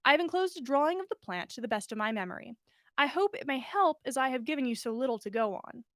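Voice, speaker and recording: A woman voicing a polite, formal letter-writer in a story read aloud, courteous and measured. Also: sits high, expressive, a bit bright, no room sound, studio quality.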